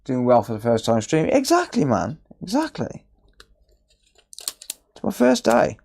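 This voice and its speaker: A man's voice: on the high side, wildly swooping pitch, unhurried, gravelly, a little mellow, breathy edge. A man chatting casually on a live stream while repairing a console, sarcastic and swearing often as he answers his chat.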